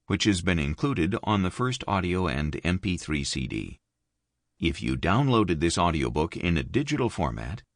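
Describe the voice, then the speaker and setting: A man reading an audiobook in a measured, expressive studio narration. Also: dry recording. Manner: measured, expressive reading with wide pitch movement. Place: dry recording booth. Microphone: close studio mic.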